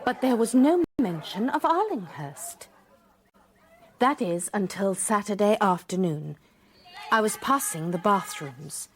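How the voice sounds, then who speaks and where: An actress playing a sharp-eyed, wry former schoolmistress, telling a mystery in a precise, measured way for radio drama. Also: female voice, medium pitch, lively intonation. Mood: wry, reflective. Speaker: narrator and lead character. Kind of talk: first-person dramatised narration, radio drama.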